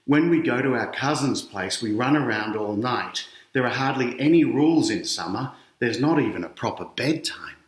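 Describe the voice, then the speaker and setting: A man's voice: medium pitch, expressive, moderate pace, hardly any pauses. A middle-aged Australian man reading a picture book aloud to children, chatting to his young listeners along the way. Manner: addresses children directly with questions and explanations. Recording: roomy, echoey indoor sound.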